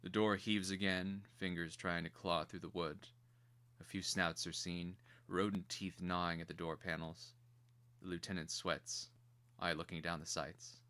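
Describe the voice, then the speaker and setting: A man with a low, forceful voice narrates a dramatic screenplay. He reads deliberately and theatrically, with a grave, crisp-edged tone suited to scripted action. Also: flat delivery.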